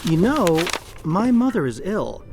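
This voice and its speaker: A man's voice: high voice, very animated, takes it slowly, loud music behind the voice, exaggerated voice. An actor playing a young, cheekily insubordinate soldier in a radio drama, probing and needling as he talks. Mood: needling, suspicious. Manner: needling, questioning.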